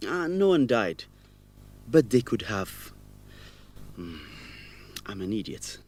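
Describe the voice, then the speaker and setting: An actor playing a guilt-ridden young man in an educational radio drama, speaking earnestly and haltingly with emotion. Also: lowish voice, big pitch swings, darkish tone, exaggerated voice. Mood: troubled, defensive. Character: guilt-ridden young man, former orphan, confessing a shameful secret.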